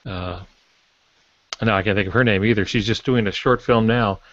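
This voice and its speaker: An older man chatting casually as a podcast guest, telling anecdotes and pausing to search for words. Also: on the low side, fairly even intonation, a bit bright. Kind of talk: casual panel talk, anecdotes. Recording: dull lo-fi roomy online audio.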